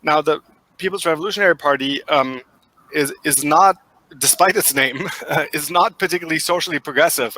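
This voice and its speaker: A man's voice: fairly high pitch, bright, forceful tone, rough recording. A man with a German accent, giving thoughtful interview answers full of fillers and restarts. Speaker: guest expert.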